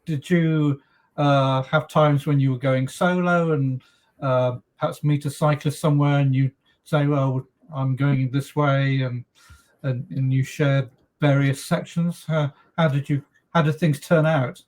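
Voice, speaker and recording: A man's voice: medium pitch, fairly even intonation, unhurried, darkish tone. A man hosting a podcast, asking long, rambling questions full of fillers. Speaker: podcast host. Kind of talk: casual interview chat.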